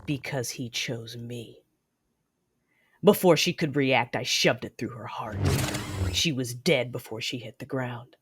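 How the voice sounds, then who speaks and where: A woman's voice: rumbling low, unhurried, slightly rough, exaggerated voice. A woman playing a cold, vengeful female Sith lord in an audio drama, controlled and menacing as she narrates her deeds. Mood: cold menace. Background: music.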